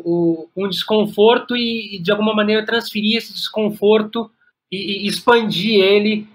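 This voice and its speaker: A man's voice: sits high, fairly even intonation. A Brazilian man talks as a guest in an interview. He speaks in a reflective, searching way, often stopping to repeat a word before he finds the rest of his thought. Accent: Brazilian Portuguese. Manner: halting and searching, repeats words as thinking aloud. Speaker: podcast guest, playwright and director.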